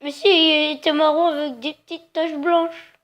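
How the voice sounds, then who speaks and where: A woman's voice: high voice, little pitch movement, normal speed, fairly bright tone. A woman playing a naive, plaintive pet owner in a prank phone call, childlike and insistent as she rambles off the point. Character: naive, plaintive woman grieving her little pet dog.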